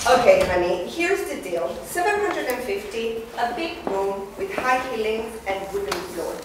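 A woman in a dramatic play reading, acting a brisk, sassy dealmaker, blunt and teasing. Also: on the high side, animated. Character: brisk, sassy woman striking hard bargains. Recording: echoey hall, distant mic, noisy.

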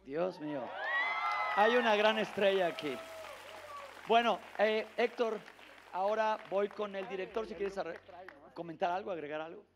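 A man's voice: high voice, normal speed, long pauses, a crowd in the background, noisy background. A middle-aged man hosting live on stage in an emphatic, dramatic way. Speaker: host of a TV singing contest. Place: TV studio stage. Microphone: handheld microphone. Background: music.

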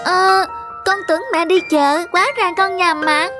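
A woman's voice: extremely high pitch, fairly even intonation, a little slow. A woman voicing a small chatty girl in a cartoon, sweet and earnest, over background music. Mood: explaining. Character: small cheerful girl, about five. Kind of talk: cartoon dubbing.

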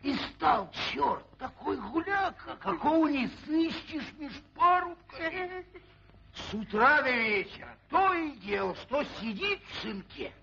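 An actor playing a brooding, swaggering Cossack in an old cartoon, gravelly and dramatically telling folk tales. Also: male voice, very high voice, expressive, unhurried, background noise, exaggerated voice. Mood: wry storytelling. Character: brooding, swaggering Cossack storyteller with a troubled soul. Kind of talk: theatrical Soviet cartoon acting. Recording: dull old film soundtrack.

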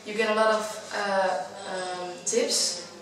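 Flat-voiced girl, fifteen. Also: medium pitch, takes it slowly, distant mic. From Netherlands. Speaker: youth softball player. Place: dim indoor corner. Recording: very echoey room from afar, crowd behind.

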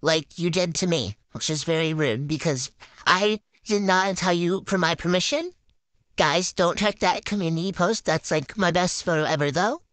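A woman's voice: fairly low pitch, normal speed, fairly bright tone, dry recording, natural voice. Woman doing a bratty ditzy noob gymnast. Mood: bossy. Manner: valley-girl drawl, silly and boastful. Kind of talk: improvised game roleplay voiceover.

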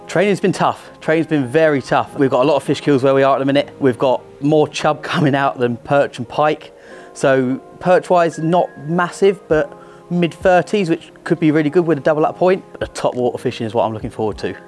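A man's voice: on the high side, a little fast, darkish tone, faint music behind. Chatty man. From Lincolnshire, England. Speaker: angler interviewee. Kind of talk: casual interview answers. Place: indoor room. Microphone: lapel mic.

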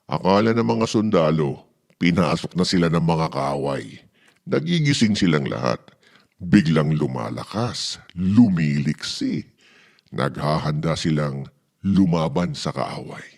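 A man's voice: rumbling low, lively intonation, unhurried, creaky, long pauses, darkish tone, exaggerated voice. A man voicing a reassuring, confident father who explains things patiently and emphatically in a children's storybook. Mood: dramatic explaining.

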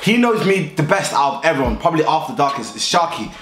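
A young man, hosting a prank-call video in loud, animated, slangy banter. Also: on the high side, fast, noisy background. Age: young adult. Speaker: YouTuber host. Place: small room indoors. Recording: echoey room with music.

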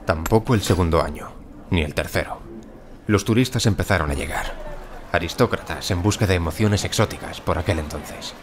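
A man's voice: deep voice, a little fast, noisy background, lightly acted. An actor playing an earnest, obsessed, resolute archaeologist who also narrates his story in an audio drama. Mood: reflective narration. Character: obsessed, earnest, blunt male English archaeologist. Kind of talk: Spanish-language audio drama acting and narration.

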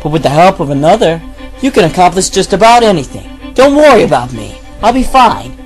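Man playing a lively, determined young hedgehog hero. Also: high-pitched, brisk, over music, low-quality recording. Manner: earnest, resolute. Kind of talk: amateur radio play acting.

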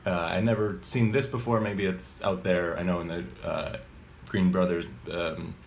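A man in his thirties with a very low voice talks through a lesson in a loose, rambling way, stopping to correct himself and filling gaps with ums. He holds a phone at arm's length in a home kitchen, and a faint hiss sits under the sound. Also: flat delivery, moderate pace. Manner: rambling, many fillers and self-corrections. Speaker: mallet percussion teacher. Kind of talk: casual video lesson. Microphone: phone camera at arm's length.

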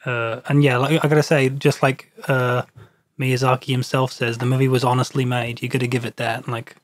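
A man's voice: medium pitch, flat delivery, normal speed, no echo, studio quality. A man on a podcast panel, casually discussing a film.

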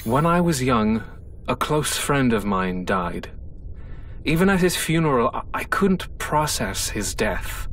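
A man's voice: mid-range voice, a bit bright, recorded from a distance, a little hiss or hum. A man playing an earnest, philosophical space station scientist, speaking formally in audio logs for a sci-fi game. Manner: formal, measured, contemplative. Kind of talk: scripted audio log monologue for a sci-fi game.

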